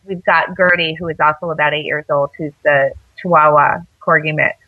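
A woman's voice: deep voice, fairly even intonation, normal speed, phone-quality sound. A woman guest on a radio interview show, telling stories casually, rambling with lots of fillers. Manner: rambling, many fillers and restarts. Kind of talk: casual storytelling.

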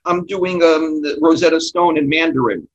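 A man's voice: on the high side, moderate pace, poor audio. A man talking as a guest songwriter in reflective interview conversation, often repeating phrases as he thinks.

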